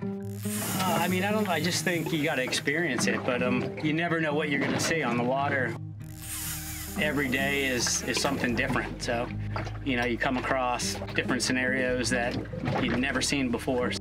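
A man's voice: mid-range voice, fairly even intonation, normal speed, loud music behind the voice, poor audio. A man guiding a fishing trip on an open boat, explaining gear in a matter-of-fact, instructive way. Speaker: fishing guide. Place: open boat at sea, outdoors.

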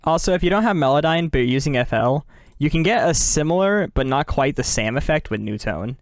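A man narrating a software tutorial in a casual, instructional way as its host. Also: on the high side, expressive, fairly quick, no echo, studio quality.

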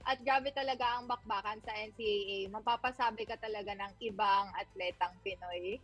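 A woman's voice: mid-range voice, fairly even intonation, fairly quick, fairly bright tone, faint music behind, rough recording. A young woman interviewed as a new courtside reporter over a video call, friendly and a bit hesitant, with fillers. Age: young adult. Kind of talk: video-call interview, mixing English and Filipino.

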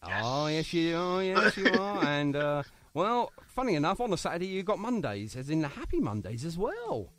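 A man hosting a casual radio interview, chatty and quick with jokey asides. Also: on the high side, very animated, fairly bright tone. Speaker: radio show host.

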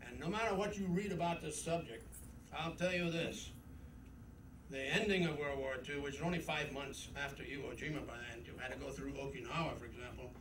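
A man's voice: medium pitch, brisk. An older man giving a rambling, emphatic war memoir talk at a club meeting, with frequent fillers. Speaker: guest speaker. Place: club meeting room, indoors. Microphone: podium mic, camera from across room. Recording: roomy, distant camcorder audio with noise.

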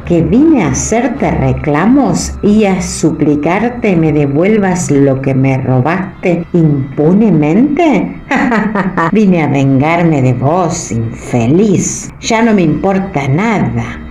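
A woman's voice: fairly low pitch, sing-song, slow, warm tone, reverberant. An actress playing a desperate, hateful woman in a radio drama, with a Rioplatense accent, venomous and intense. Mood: vengeful. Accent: Rioplatense Spanish. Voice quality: intense, venomous, with wild laughter. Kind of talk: online radio drama acting.

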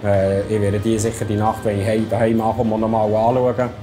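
Schweizer Mann mit Schweizerdeutschem Akzent. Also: male voice, on the low side, flat delivery, moderate pace, mellow, soft background music, low-quality recording. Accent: Swiss German. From Switzerland. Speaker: ice hockey referee. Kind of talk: sponsored video voice-over and interview.

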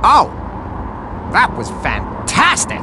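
Eager male game hero, slightly high, very animated, clear effects noise behind. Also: slow, full character voice. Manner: excitable. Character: excitable, eager adult male ghost hunter. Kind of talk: cinematic game cutscene acting. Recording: loud ambient effects behind.

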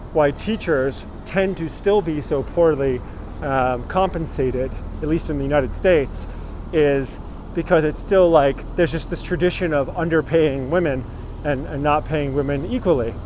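A man's voice: on the high side, measured pace, a little mellow. A man in his thirties chatting his way through a walking tour, greeting viewers by name as he walks outdoors and films on a phone held at arm's length. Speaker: tour guide. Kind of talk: live-streamed walking tour. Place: outdoors on a city street. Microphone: phone held at arm's length while walking. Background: street traffic.

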